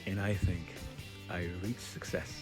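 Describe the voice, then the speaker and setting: A man's voice: low voice, fairly even intonation, a little slow, music in the background. A man narrating his own DIY build in a casual, candid, informal way, like a vlogger.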